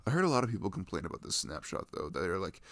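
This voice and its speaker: A man's voice: sits low, sing-song, rapid-fire, fairly bright tone, no echo. A young adult man chatting casually and enthusiastically about video games in a rambling, slangy way, close to the microphone.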